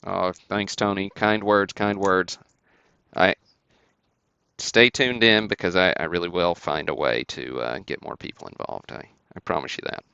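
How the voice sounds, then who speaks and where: A man's voice: low voice, normal speed, creaky, bright, forceful tone, clean tone, no room sound, dull audio. A man hosting a sports card break livestream, casually calling out cards and buyers and chatting to viewers in an easygoing way.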